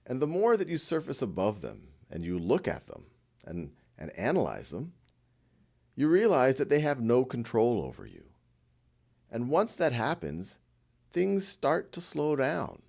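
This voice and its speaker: Male meditation guide: gentle and reassuring, unhurried delivery with a lively, moving pitch. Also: gravelly.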